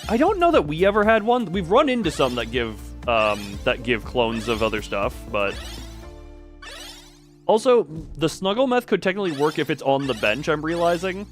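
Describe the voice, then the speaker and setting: A man livestreaming a game with casual, excitable commentary, rambling and thinking aloud to himself. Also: on the high side, normal speed. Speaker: game streamer. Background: music.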